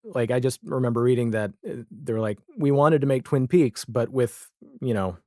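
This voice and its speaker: A man speaking as a podcast guest in a casual pop-culture discussion, with halting asides as he lists things. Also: medium pitch, a little mellow, no room sound, studio quality.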